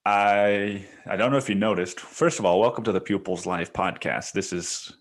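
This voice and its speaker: A man's voice: on the low side, moderate pace. A man hosting a solo podcast from his bedroom, talking in a casual, rambling, profane and slangy way. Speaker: podcast host. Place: bedroom used as home studio.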